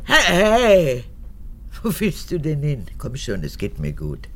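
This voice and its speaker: An actress playing an old, manipulative and clingy mother, an unrepentant former camp guard, in a gravelly voice for a radio drama. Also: huge pitch swings, slow, heavily acted. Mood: pleading, clinging. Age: older. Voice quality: creaky, gravelly. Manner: erratic, swinging from whispers to shrill outbursts.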